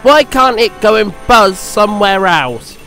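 A small, proud steam engine from a children's storybook, acted out by a man. The voice is high and excitable, with indignant emphasis. Music plays behind it. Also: slow pace, full character voice. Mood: grumpy.

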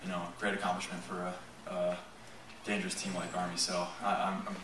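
Man at a postgame presser, low voice, halting with fillers; roomy far-off sound and room noise. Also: monotone. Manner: halting, many restarts and fillers. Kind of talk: postgame press conference answers.

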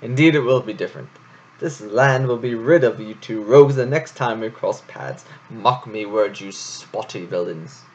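Man doing a blustering stage-actor hare, booming and grand, lowish voice, dull lo-fi sound. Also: normal speed, natural voice. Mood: dramatic. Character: pompous theatrical male hare actor.

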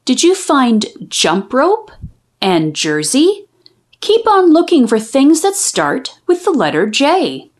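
A woman storyteller reading a picture book aloud to young children in an expressive, deliberate, sing-song way. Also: sits high.

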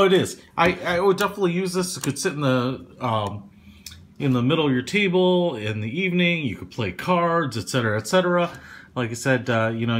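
A man's voice: medium pitch, fairly bright tone, slight background noise. Rambling casual man reviewing gear. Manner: rambling, lots of fillers, casual slang. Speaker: product reviewer. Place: small indoor room.

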